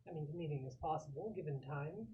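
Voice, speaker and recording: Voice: mid-range voice, expressive, fast, narrow, tinny audio. A tabletop role-playing player speaking in character in a formal, analytical way.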